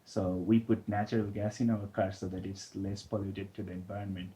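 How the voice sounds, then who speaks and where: A young man from Bangladesh, a guest in a casual interview, speaking in a relaxed, hesitant way with many fillers. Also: fairly low pitch, brisk.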